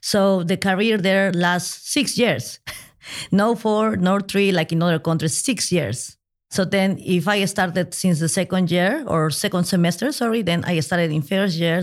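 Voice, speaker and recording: A woman from Peru with a Peruvian Spanish accent, explaining earnestly as a guest in a casual interview. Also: on the low side. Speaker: podcast guest, legal designer.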